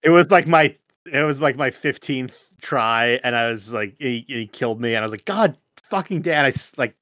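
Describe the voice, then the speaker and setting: Young adult American male, casual storyteller, halting and sweary, dry close sound. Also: mid-range voice, animated, fairly bright tone, dry recording. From United States. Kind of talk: casual group chat, anecdotes.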